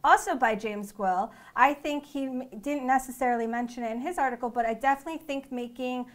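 A woman's voice: high-pitched, brisk. A young woman co-hosting a casual discussion in an office, chatty and often restarting her sentences. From New York. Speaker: co-host. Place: open-plan office, indoors.

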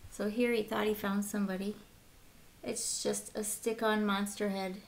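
A woman in her thirties reading a picture book aloud as a teacher, with simple, deliberate phrasing for young children and short asides. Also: on the high side, fairly bright tone. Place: small room at home, indoors.

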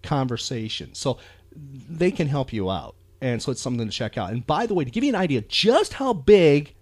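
An American man hosts a podcast with a very animated, emphatic delivery. His talk is casual and folksy, rambling along, recorded close and dry. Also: medium pitch, moderate pace, husky, dry recording. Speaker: podcast host.

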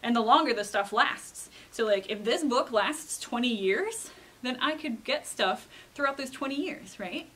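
A woman's voice: on the high side, lively intonation, measured pace, fairly bright tone. A woman in her thirties, a children's librarian, talks casually to kids, teaching through questions and frequent check-ins. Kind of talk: casual explanatory talk to children. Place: indoor library children's room.

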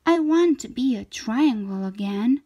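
A woman acts out a little storybook shape that is never satisfied. The voice is high and animated, with an earnest, plaintive delivery. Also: a little slow, muffled recording. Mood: pleading. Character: restless, dissatisfied little living shape.

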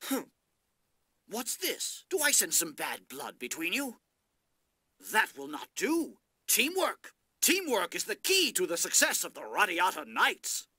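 A man's voice: high voice, wildly swooping pitch, a little slow, pushed and bright, husky, dry recording, clean studio sound, full character voice. A man playing a pompous, avuncular middle-aged knight captain in a video game. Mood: fatherly. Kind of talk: scripted video game acting. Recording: game audio with music underneath.

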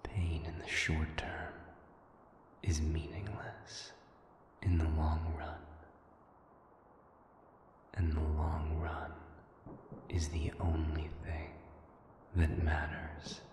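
A man voicing a cold, resolute demon in an audio drama, with a breathy whisper and a solemn, deliberate delivery. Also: rumbling low, level tone, darkish tone, full character voice. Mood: cold resolve. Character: cold, resolute male demon, menacing and unhurried. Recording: echoing, heavy reverb with noise.

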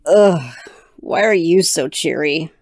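Woman doing a slow, grumpy cartoon panda. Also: low-pitched, expressive, a little mellow, heavily acted. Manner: weary, grumbling.

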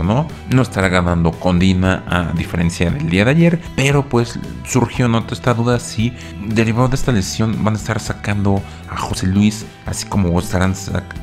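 A man hosting a casual video commentary on a reality show, rambling with many fillers and asides. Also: sits low.

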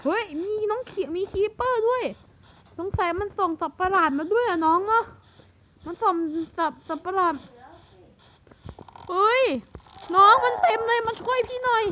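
Cartoon big brother, hyper and bossy, high chipmunk pitch, voiced by a man, noisy track. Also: normal speed, pauses often. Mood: excited. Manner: excited, shouting warnings. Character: excitable big-brother gamer, bossy and brave. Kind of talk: cartoon narration. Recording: noisy recording.

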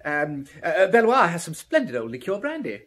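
A man's voice: on the high side, huge pitch swings, a little fast, fairly bright tone, exaggerated voice. An actor playing a jovial, nervously over-friendly businessman with a stammering, effusive manner in a radio drama. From Belgium. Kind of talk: vintage radio drama acting. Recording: noisy old radio broadcast.

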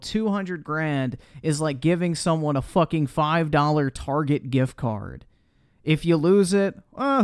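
A man's voice: fairly high pitch, clear, resonant tone, no room sound, clean studio sound. A man livestreamer giving casual reaction commentary in a blunt, profane, slangy way.

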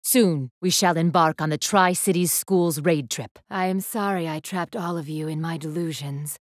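A woman's voice: low voice, normal speed, dry recording, studio quality, lightly acted. A woman voice actor playing an imperious, iron-willed young warrior woman in an anime game, with a haughty, formal, declamatory delivery. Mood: cold, subdued. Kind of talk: English anime game voice acting.

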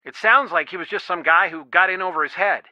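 A man's voice: high voice, a little fast, no echo. An actor playing a worried, protective middle-aged father in a video game, earnest and pleading, heard through a telephone filter. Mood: guarded, explaining. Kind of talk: naturalistic video game dialogue, one side of a phone call. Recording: dry, band-limited phone-like sound.

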